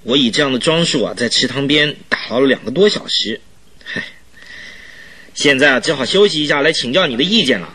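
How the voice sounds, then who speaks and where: A man's voice: medium pitch, breathy edge. A man playing an eager, self-satisfied police detective chief in a radio drama, exclaiming and drawing out his phrase endings. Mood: earnest, insistent. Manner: animated, exclamatory, drawn-out particles. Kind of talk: Chinese radio drama acting.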